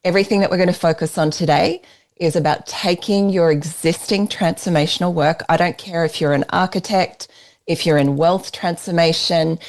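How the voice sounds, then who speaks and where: An Australian woman leading a business workshop, giving an emphatic, persuasive pitch while presenting slides. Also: steady tone, moderate pace, darkish tone.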